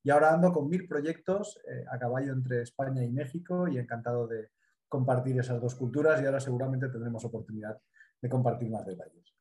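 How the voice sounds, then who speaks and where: A middle-aged man from the Mediterranean coast of Spain with a Spanish accent, a business consultant explaining his work in a measured way during a live interview. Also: mid-range voice, darkish tone, roomy sound.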